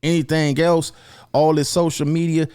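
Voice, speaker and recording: A man's voice: a little high, flat delivery, normal speed, darkish tone, clean tone. A man co-hosting with casual, blunt commentary, swearing and repeating his points for emphasis.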